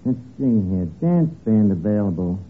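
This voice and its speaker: An actor playing a brash, wisecracking tavern manager with a streetwise New York accent and slangy speech, in 1940s radio comedy style. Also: male voice, fairly low pitch, sing-song, moderate pace. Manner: slangy, malapropism-prone, streetwise patter. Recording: tinny, noisy old radio broadcast, audience behind.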